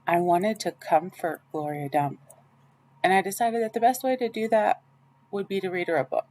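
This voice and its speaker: A woman reading a children's novel aloud in the first person, with expressive storytelling. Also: on the low side, moderate pace. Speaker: audiobook reader.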